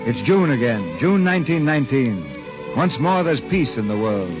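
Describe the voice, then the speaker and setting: A man's voice: medium pitch, sing-song, normal speed. A man announcing as host of a 1930s radio show, in a polished, theatrical scripted style. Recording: old muffled radio broadcast, faint music.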